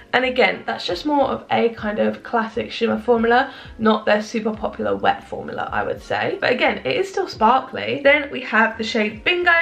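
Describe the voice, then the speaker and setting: A young woman with a slightly high, gravelly, croaky voice chats away about her makeup at home. She rambles and pokes fun at herself, and her voice carries a clear edge of fry. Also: fairly bright tone. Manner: chatty, self-deprecating, rambling. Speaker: beauty vlogger. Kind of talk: casual makeup review chat. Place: indoors at home in the evening.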